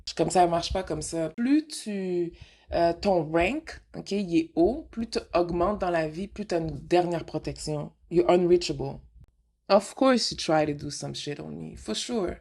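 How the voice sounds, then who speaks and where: A woman with a Québécois accent talks frankly in a loose, rambling monologue, hopping between French and English in the middle of her sentences. Her voice sits low and carries a husky, breathy edge. She pushes her points with rhetorical questions. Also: unhurried, darkish tone. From Quebec. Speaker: radio show host. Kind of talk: casual monologue.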